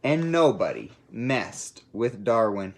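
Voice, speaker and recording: Expressive storybook reader with animated pitch. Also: takes it slowly. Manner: expressive, addresses young listeners directly. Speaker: teacher reading aloud to children. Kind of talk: friendly storybook read-aloud.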